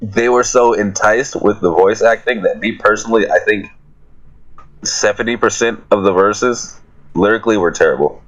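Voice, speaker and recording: A man's voice: fairly low pitch, normal speed, fairly bright tone, low-quality recording. A man chatting casually in a group Q&A, blunt and joking in how he talks. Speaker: music group member answering fan questions.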